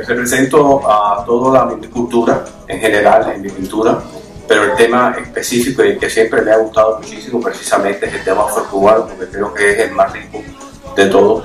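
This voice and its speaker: Older Cuban man, humble and halting public speaker, low-pitched, recorded from afar in an echoey hall with music. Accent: Cuban Spanish. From Cuba. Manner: humble, hesitant, many fillers. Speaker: painter speaking at an event. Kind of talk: public talk with hesitations. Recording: echoey room from far off, music behind.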